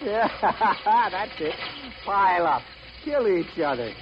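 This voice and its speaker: Commanding head lighthouse keeper, high urgent voice, excitable, vintage radio drama with heavy noise. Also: male voice, slow. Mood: cruel glee. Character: excitable, gruff-talking male chief lighthouse keeper. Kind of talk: 1950s radio drama acting. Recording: noisy, muffled old radio broadcast.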